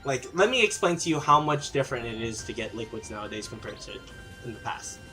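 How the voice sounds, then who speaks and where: A man's voice: medium pitch, very animated, a little fast, sharp tone, faint music behind. A man streaming a game, answering chat questions casually with plenty of fillers and restarts. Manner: rambling, many fillers and restarts.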